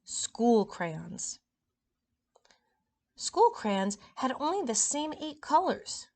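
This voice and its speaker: A woman reading a story aloud to young children in an expressive, chatty way. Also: high-pitched, measured pace.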